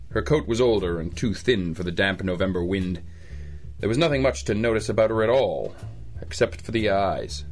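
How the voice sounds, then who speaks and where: Hard-boiled gumshoe: very low voice, deadpan, wry, slangy, radio noir style over music. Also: male voice. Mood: wry, smitten. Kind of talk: old-time radio noir acting and narration.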